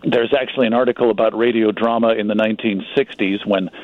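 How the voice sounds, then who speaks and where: A man's voice: on the low side, steady tone, fast, no room sound, phone-quality sound. A middle-aged American man, a writer and publisher, sharing recollections as an enthusiastic, reflective guest in a radio interview. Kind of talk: anecdotal radio interview talk.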